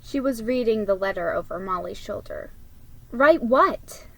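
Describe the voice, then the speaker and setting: A woman reading aloud as an amateur storybook narrator, in a measured way with the odd stumble. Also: medium pitch, creaky, darkish tone, slight background noise, rough recording. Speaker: amateur book reader. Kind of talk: casual introduction and storybook narration.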